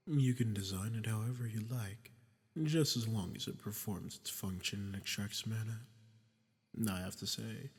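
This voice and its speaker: A man playing a sadistic, ancient archdemon in an audio drama, smug and mock-polite, with a gravelly voice that often drops to a breathy near-whisper. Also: fairly low pitch. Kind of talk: scripted roleplay audio drama monologue. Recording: long cavernous reverb.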